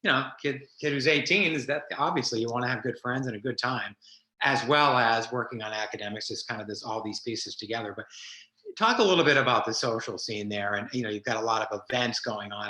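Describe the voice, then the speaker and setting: A man hosting an interview, asking questions in a rambling, halting way full of fillers. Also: on the low side, sharp tone. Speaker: interviewer host. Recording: roomy echo.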